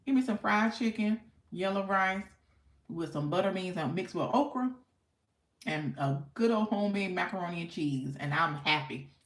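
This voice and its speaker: A woman's voice: lowish voice, moderate pace. An American woman hosting a casual livestream, chatting with viewers in an effusive, folksy way with repeated thanks and affirmations. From United States. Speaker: livestream host. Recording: echoey, roomy sound.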